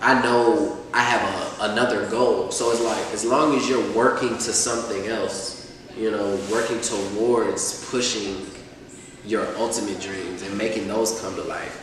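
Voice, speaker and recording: Young dude rapping about life, chill and rambly, roomy echo, mic kinda far. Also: male voice, lowish voice, a little hiss or hum. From Atlanta, USA. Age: 20s. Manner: relaxed, rambling, lots of fillers. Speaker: rapper, guest. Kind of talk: casual sit-down interview. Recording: echoey room, distant mic.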